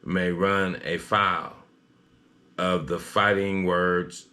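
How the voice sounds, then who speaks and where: Male news commentator, low-pitched, slightly halting read, personal opinion style. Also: fairly even intonation, sharp tone.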